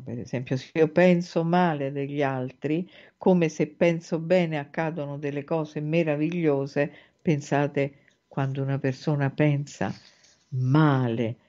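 A woman hosting a spiritual talk, explaining a fairy tale in a thoughtful, measured way with frequent hesitant pauses, in dull lo-fi podcast audio. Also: low-pitched, steady tone, dark tone. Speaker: host and spiritual teacher. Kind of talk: reflective spoken lecture on a fairy tale.

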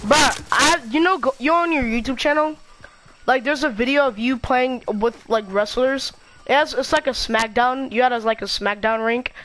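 A young boy chatting excitably and rambling while playing a video game with a friend. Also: very high-pitched, normal speed. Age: child. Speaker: gamer. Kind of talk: casual gaming chat. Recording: noisy gameplay audio behind.

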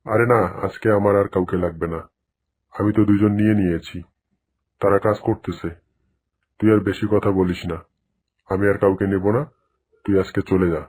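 Man playing a husky, low tiger boss. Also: fairly even intonation, normal speed, pauses often, a little mellow. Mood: stern, dismissive. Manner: blunt, dismissive. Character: stern, dismissive male tiger employer. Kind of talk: cartoon dialogue.

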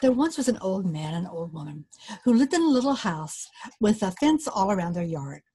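An older woman storyteller reading a folktale aloud to children, animated and inviting listeners to join in. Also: medium pitch, a little fast, slightly rough. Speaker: author and storyteller. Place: small book-lined room indoors.

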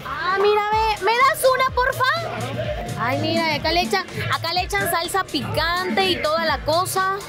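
A woman's voice: extremely high pitch, expressive, a little slow, over loud music. A young Peruvian woman vlogging street food at a night market, bubbly and chatty with vendors, talking into a handheld mic. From Peru. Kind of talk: casual on-street food vlog.

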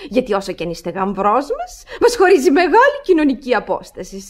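A woman's voice: on the high side, huge pitch swings. An actress playing a haughty older aristocratic mother-in-law in a radio comedy, pompous, affected and scolding. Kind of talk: radio theatre comedy acting.

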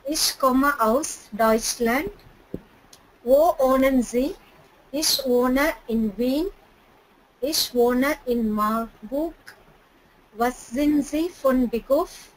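Careful high-voiced woman student reading. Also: drawn-out words, long pauses, slight background noise, rough recording. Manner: careful, apologetic. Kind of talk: reading a text aloud in class.

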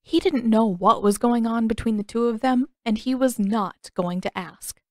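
A woman's voice: on the high side, normal speed, no echo. Woman narrating a story, close and dry. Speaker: podfic narrator and author. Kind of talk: scripted audiobook narration of fan fiction, third person.

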